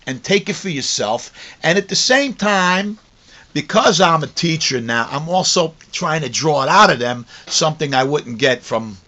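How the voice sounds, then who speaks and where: A man's voice: on the high side, bright, forceful tone. A mature man giving an autobiographical teaching talk in a conversational storytelling way, repeating phrases for emphasis. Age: middle-aged or older. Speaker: course teacher. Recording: dull lo-fi recording.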